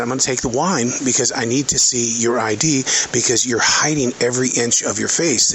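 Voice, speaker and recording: A man's voice: mid-range voice, gravelly, fairly bright tone, a little hiss or hum, poor audio. A man from the American South, hosting his podcast as a chatty, sarcastic work diary recorded while walking around a retail store. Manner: chatty, wry, sarcastic, restarts often. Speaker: podcast host. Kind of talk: casual spoken work diary.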